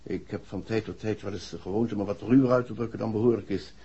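An actor playing a proud, uneasy husband, husky, formal and emphatic, in a 1960s radio play. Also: male voice, on the low side, fairly even intonation, fast talker, warm tone, slight background noise, natural voice. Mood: stiffly apologetic. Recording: dull old radio recording.

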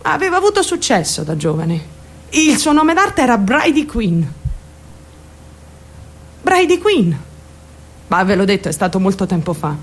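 A woman's voice: medium pitch, sing-song, measured pace, long pauses, husky. A woman acting a theatrical radio monologue as a troubled young woman, defensive and candid, rambling and addressing her listeners directly. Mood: wistful, rambling. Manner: confiding, addresses listeners directly with rhetorical questions. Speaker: actor.

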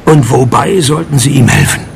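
Trockener, knarziger Privatdetektiv. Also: male voice, medium pitch, normal speed, vocal fry, a little hiss or hum. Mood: sardonic. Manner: dry, sardonic, deadpan. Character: wry, deadpan male private detective. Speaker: lead actor and narrator. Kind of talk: noir parody radio play, first-person narration and dialogue.

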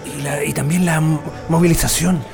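High-voiced insistent prisoner lookout. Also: male voice, steady tone, moderate pace, creaky. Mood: cautious, hushed. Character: cautious, insistent male prisoner lookout in escape plot. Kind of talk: radio drama acting. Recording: music behind the voice.

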